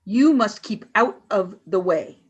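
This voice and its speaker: A woman's voice: medium pitch, animated, unhurried, breathy edge, muffled recording. A woman playing a cold, commanding alien leader. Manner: stern, clipped orders. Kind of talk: amateur radio play.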